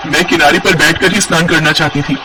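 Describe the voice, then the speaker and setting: A woman's voice: sits low, steady tone, fairly quick, lightly acted, low-quality recording. A woman voicing a haughty, short-tempered merchant's wife in a cartoon story, with a scolding, bossy manner. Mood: annoyed. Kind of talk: Hindi cartoon story dialogue.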